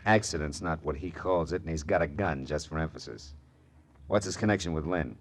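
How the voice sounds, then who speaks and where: An actor playing a curt, sardonic private detective in a 1940s hardboiled radio drama, with a clipped, commanding, wisecracking delivery. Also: male voice, extremely low pitch, fairly quick. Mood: brusque, pressing. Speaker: detective and narrator.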